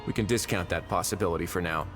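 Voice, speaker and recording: A man's voice: deep voice, quick pace, lightly acted. A man voicing a shrewd, composed official with dry, wry authority in a video game. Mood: analytical, composed. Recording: faint music behind the voice.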